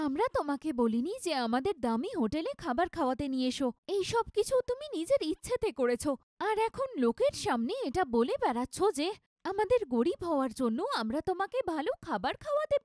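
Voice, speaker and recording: Young married daughter, high animated voice, indignant, scolding, proud, cartoon drama. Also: female voice, dry recording, clean studio sound. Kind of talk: cartoon story voice acting.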